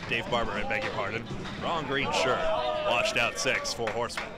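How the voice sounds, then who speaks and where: A man's voice: medium pitch, fairly even intonation, moderate pace. A man with a New England accent giving chatty, joking analysis during a live candlepin bowling broadcast. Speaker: color commentator. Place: bowling alley. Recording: crowd noise behind.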